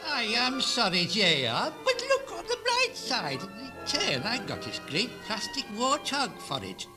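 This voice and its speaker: Male actor as apologetic landlady, shrill-pitched, consoling, very swoopy, 1970s hospital radio comedy with music behind. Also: huge pitch swings, a little slow, exaggerated voice. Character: scatty, apologetic, chirpy landlady. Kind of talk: amateur comedy radio play acting.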